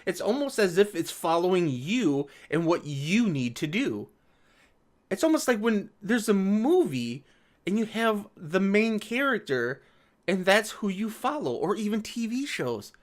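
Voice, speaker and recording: A man's voice: high voice, sing-song, measured pace. A man giving casual reaction commentary on an article, sarcastic and exasperated, swearing freely. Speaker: video commentator.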